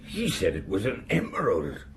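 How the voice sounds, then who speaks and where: A man's voice: lowish voice, huge pitch swings, moderate pace, faint music behind. An actor playing a plain, hesitant, guarded local man in an audio drama.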